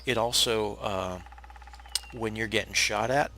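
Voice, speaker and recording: Gravelly guy with a scratchy worn-out voice walking you through a game build, hiss and birds chirping in the back. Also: lowish voice, unhurried. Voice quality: raspy. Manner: relaxed, explanatory, with frequent uh fillers and restarts. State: unwell, hoarse. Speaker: game tutorial narrator. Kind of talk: casual step-by-step gameplay tutorial.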